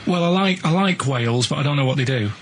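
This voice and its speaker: A man's voice: on the high side, lively intonation, fast talker, slight background noise. A man co-hosting a radio show, chatting casually in a rambling, earnest, matter-of-fact way.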